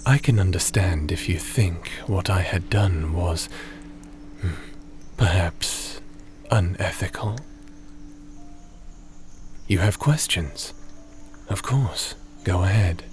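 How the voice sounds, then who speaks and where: A gentle, cautious fantasy guardian acted by a man in an ASMR roleplay. His deep voice moves slowly and deliberately, soothing and slightly formal. Music plays softly behind him. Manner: measured, soothing, slightly formal, sometimes breathy near-whisper.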